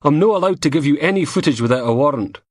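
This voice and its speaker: A man's voice: fairly high pitch, lively intonation, fast, no echo, studio quality, voice pushed up. A man doing a stuffy-nosed TV technician. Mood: reluctant. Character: Scottish man, TV technician with a cold. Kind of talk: audiobook character voice.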